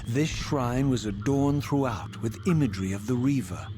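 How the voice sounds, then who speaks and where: A man's voice: medium pitch, normal speed, noisy background, lightly acted. A man playing a proud, vengeful undead wraith, narrating in grave, formal, theatrical diction for a video game. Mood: brooding, intrigued. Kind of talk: dramatic scripted game narration. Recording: music behind the voice.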